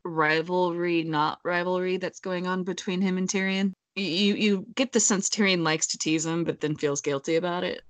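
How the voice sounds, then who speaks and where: A woman's voice: fairly low pitch, steady tone, normal speed, hardly any pauses, dry recording. A woman chatting casually and informally in a book discussion.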